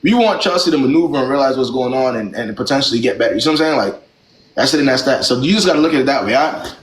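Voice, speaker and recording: Fast-talking blunt young man. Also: medium pitch, expressive, roomy sound, rough recording. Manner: blunt, lecturing, slangy. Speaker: live-stream advice host. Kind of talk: blunt relationship advice to callers.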